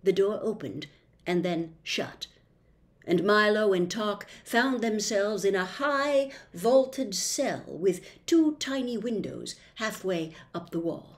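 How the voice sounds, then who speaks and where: Slow, expressive woman reading a storybook. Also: mid-range voice. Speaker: audiobook reader, host. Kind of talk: storybook narration.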